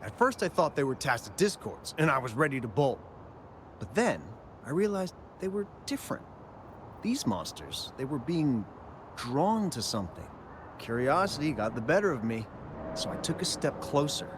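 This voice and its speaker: A man's voice: mid-range voice, sing-song, noisy background. An actor playing a nervous, talkative young male miner recounting what he saw. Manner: talkative, jumpy, slows down when describing monsters. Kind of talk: game cutscene dialogue. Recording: game music underneath. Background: music.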